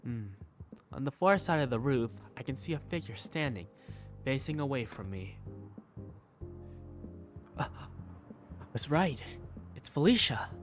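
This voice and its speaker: Man as Simon, animated student narrator over music. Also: medium pitch. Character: Simon, curious, self-doubting high school student narrator. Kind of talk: first-person scripted narration, amateur visual novel fandub.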